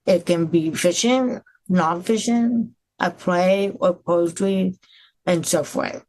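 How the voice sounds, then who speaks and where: A woman reading out questions to a panel in a measured, deliberate way. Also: on the low side, darkish tone, rough recording.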